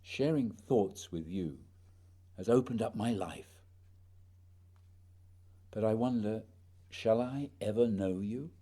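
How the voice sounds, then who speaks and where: A man's voice: on the low side, slow pace, long pauses, mellow. A man playing a proud, determined naval explorer in a radio play, speaking earnestly and dramatically, often questioning. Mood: earnest, searching.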